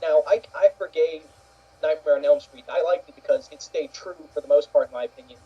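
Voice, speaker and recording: A man co-hosting a casual chat in a loose, slightly halting way, on a muffled recording. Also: fairly high pitch, husky. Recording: dull, muffled lo-fi audio.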